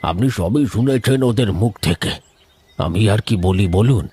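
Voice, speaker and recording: A man playing an anxious, deferential older village headman in a cartoon ghost story, husky and hesitant, with a pleading, stammering delivery. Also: fairly low pitch, unhurried, dark tone. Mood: flustered, respectful. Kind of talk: cartoon horror story voice acting.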